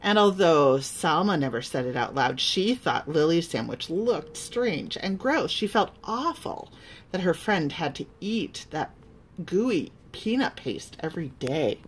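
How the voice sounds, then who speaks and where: Slow-reading woman with a lowish voice. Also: a little hiss or hum, poor audio. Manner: expressive, deliberate, pausing between phrases. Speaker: pastor reading a children's storybook. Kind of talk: storybook read-aloud with asides.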